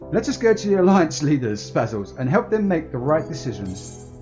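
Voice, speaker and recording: A man acting out a resolute leader in a fantasy game story. The voice is raised in pitch, with formal, measured declamation and music behind it. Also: sing-song, darkish tone.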